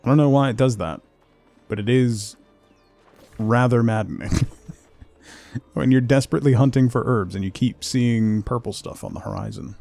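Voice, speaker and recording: Guy live streaming a game, mid pitch voice, chatty, mutters thoughts out loud, faint music behind. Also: normal speed, creaky, long pauses, no room sound. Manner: chatty, thinks aloud in short fragments.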